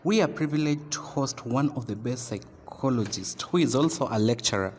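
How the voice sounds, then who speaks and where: An actor with a Kenyan accent playing a stern class teacher who lectures and scolds in a halting, emphatic way in an amateur radio drama. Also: male voice, mid-range voice, lively intonation, a little mellow, lightly acted. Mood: earnest, admonishing. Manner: admonishing, emphatic, halting delivery. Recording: noisy, very reverberant room.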